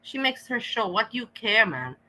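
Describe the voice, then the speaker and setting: A woman's voice: on the high side, sing-song, normal speed, bright, forceful tone, low-quality recording. A woman with an Austrian accent giving a profane, scolding rant while reacting to clips. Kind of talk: ranting reaction commentary.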